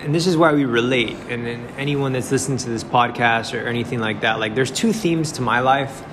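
A man's voice: medium pitch, fairly quick. A young man hosting a podcast interview, eager and chatty, calling his guest bro and man. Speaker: podcast host. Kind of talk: casual interview chat. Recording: background noise.

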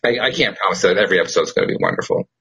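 A man's voice: deep voice, very fast, vocal fry, breathy edge. A middle-aged man, host and producer, chatting casually and emphatically, laughing often. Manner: emphatic, animated storyteller who laughs often. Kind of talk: casual chat.

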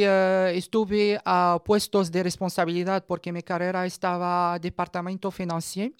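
A woman in her thirties with a Moroccan accent gives a personal testimony, haltingly searching for words in a language that is not her first. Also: lowish voice, smooth, dry recording, clean studio sound. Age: 30s. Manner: halting, searching for words in non-native Spanish. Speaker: radio guest giving a personal testimony.

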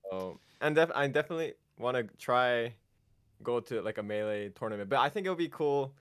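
A young man raised in Japan with an American accent, speaking as a guest in a casual interview, halting, with many fillers and restarts. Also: mid-range voice, expressive, fairly bright tone, clear, resonant tone, no room sound.

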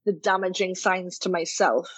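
A woman's voice: lowish voice, fairly even intonation, moderate pace, husky, no echo. A woman from Trinidad, a guest telling her personal story in a reflective, earnest way, often saying "you know" and "kind of". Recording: slightly dull, dry recording.

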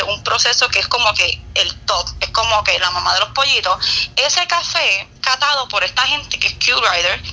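A young Puerto Rican woman chatting casually as an interview guest, explaining things in an expressive, talkative way. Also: on the high side, muffled recording. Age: young adult. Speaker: guest, barista and coffee YouTuber.

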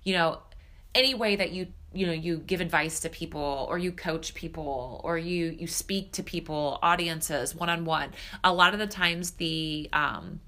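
A woman's voice: deep voice, measured pace, sharp tone. Stuffed-up chatty woman podcaster. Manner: chatty, candid, filler-heavy. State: stuffed up, slightly nasal. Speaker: podcast host and spiritual coach. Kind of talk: solo podcast monologue.

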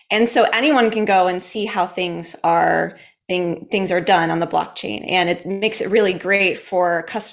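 Low-pitched woman answering on a panel.

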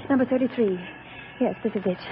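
A woman's voice: fairly high pitch, fast talker, narrow, tinny audio, over loud music, natural voice. An actress playing a desperate, determined young woman in 1940s radio melodrama, urgent and insistent. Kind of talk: 1940s radio drama acting. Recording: band-limited old radio recording.

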